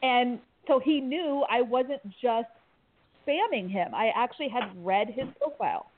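A woman's voice: a little high, a little slow, no room sound. Chatty woman over a tinny line. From Kansas. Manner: animated, chatty, laughs easily. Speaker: tech recruiter guest. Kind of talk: casual podcast chat.

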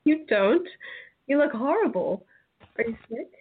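A woman's voice: high voice, lively intonation, a little slow, slightly rough, heavily acted. A woman playing an earnest, frank and kindly young friend in a radio play. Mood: concerned. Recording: tinny phone-like audio.

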